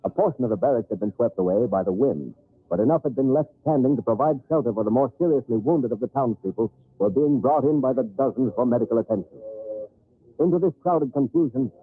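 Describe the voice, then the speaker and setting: A man's voice: mid-range voice, expressive, fast talker, pauses often. A man giving grave, formal scripted narration for an old-time radio drama. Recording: tinny, narrow-band old radio recording.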